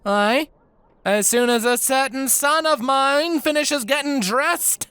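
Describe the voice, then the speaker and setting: A man puts on a warm, teasing mother from a storybook, high-pitched and folksy. She sounds bright and sprightly, with a lively, swinging lilt. The recording is close and dry. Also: measured pace, smooth, no room sound. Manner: folksy and playful, with a homely lilt. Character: warm, teasing middle-aged mother and baker. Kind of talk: storybook character voice.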